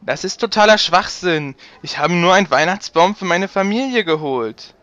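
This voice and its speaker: A man's voice: high voice, animated, normal speed, a bit bright, no room sound. A man playing an exasperated, incredulous young stallion on trial in a comedy audio drama, on a dull microphone. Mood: defensive.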